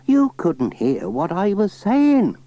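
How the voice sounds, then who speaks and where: A man's voice: high voice, wildly swooping pitch, slow, dark tone, dry recording, pitched above the performer's own voice, full character voice. A man voicing a kindly, bossy, muddled old granny who is hard of hearing, in a children's storybook. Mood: fussy, kindly. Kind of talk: children's storybook cassette reading. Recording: slightly dull cassette, faint music.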